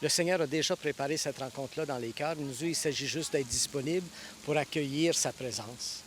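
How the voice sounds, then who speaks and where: A priest in his sixties from Ontario answers questions at an easy, reflective pace, often pausing with "euh" and restarting phrases. His voice sits fairly high for a man. A faint hiss runs under the recording. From Ontario, Canada. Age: 60s. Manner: thoughtful, with frequent hesitations and restarts. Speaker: priest and guest preacher. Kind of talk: interview answers.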